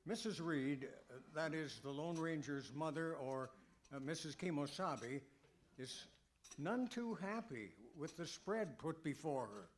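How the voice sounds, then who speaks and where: A man's voice: fairly high pitch, lively intonation, measured pace, slight background noise. A man playing a mock-solemn announcer narrating an old-time radio Western comedy.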